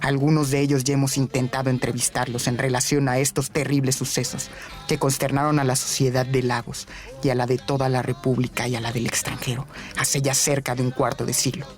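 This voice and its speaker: Even-toned male narrator over music. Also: medium pitch. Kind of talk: scripted documentary radio narration, reading press quotes.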